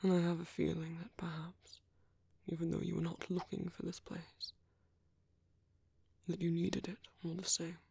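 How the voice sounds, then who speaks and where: A British man playing a gentle, courteous vampire priest in an ASMR roleplay, speaking softly and breathily with polite, hesitant reassurance. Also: on the high side, flat delivery, normal speed, long pauses, no room sound, full character voice. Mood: gentle, caring. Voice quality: soft, breathy, husky. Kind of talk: ASMR roleplay, soft intimate whispery speech.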